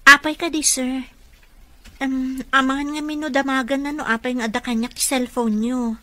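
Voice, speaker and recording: Ilocano radio drama: respectful female employee and aunt, higher register, dry studio sound. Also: little pitch movement, moderate pace, pushed and bright, no room sound. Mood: deferential, surprised.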